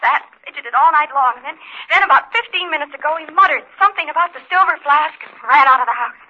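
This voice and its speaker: An actress playing a frightened young wife, urgent and stammering over the telephone in a 1950s radio drama. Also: female voice, sits high, quick pace. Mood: frantic. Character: frantic, frightened young wife phoning for help. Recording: thin phone line, old radio.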